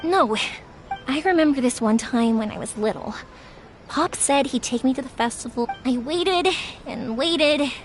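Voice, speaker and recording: Very high-pitched, eager young heroine. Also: female voice, expressive, measured pace, over music. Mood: earnest, determined. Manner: eager, earnest, encouraging. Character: cheery, determined young festival-loving woman. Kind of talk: video game voice acting.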